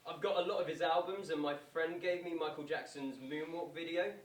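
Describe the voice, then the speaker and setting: A man's voice: fairly high pitch, fairly even intonation, a little fast, natural voice. A man playing a shy, starstruck young music fan in a stage monologue. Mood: shyly starstruck. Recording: distant, echoey, noisy stage recording.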